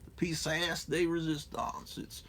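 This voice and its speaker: A man's voice: on the high side, level tone, moderate pace, a bit bright. A middle-aged man in a casual, rambling show-and-tell, profane and digressive with a self-mocking streak. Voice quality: gravelly.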